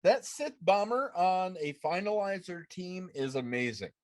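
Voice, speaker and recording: A man hosting a casual gaming discussion, explaining things in a deliberate way. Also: high voice, very animated, no room sound, studio quality. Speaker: podcast host. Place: room at home, on a video call.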